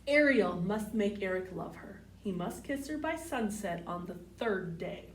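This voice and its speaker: Woman, children's storytime reader, unhurried, expressive, simple asides and questions, distant microphone, faint background noise. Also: medium pitch, reverberant. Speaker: library storytime host reading picture books. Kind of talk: children's read-aloud with asides to young listeners.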